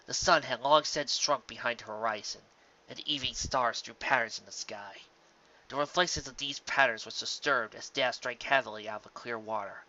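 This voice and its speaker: A narrator giving a measured, scripted dramatic story reading, occasionally stumbling over words. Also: mid-range voice, sharp tone, highs cut off. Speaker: amateur audiobook narrator.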